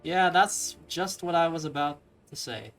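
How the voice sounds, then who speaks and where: A man's voice: a little high, animated, measured pace, fairly bright tone. A young man giving casual let's play commentary over a video game, with game music behind him.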